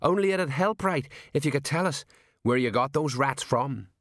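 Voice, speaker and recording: A man's voice: medium pitch, expressive, moderate pace, a bit bright, dry recording, clean studio sound, pitched above the performer's own voice. A man voicing a nervous, placating middle-aged city watch sergeant who talks hesitantly.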